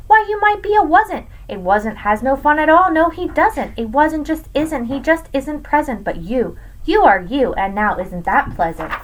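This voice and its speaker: Animated, higher-voiced woman reading to children. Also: low-quality recording. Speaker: teacher reading to students. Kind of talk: expressive read-aloud of a rhyming children's picture book. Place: small home room with bookshelves. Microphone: webcam at desk distance.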